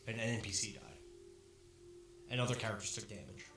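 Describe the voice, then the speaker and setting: A man narrating as dungeon master for a tabletop game, describing scenes with pauses and fillers. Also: on the low side, animated. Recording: echoey room, music behind.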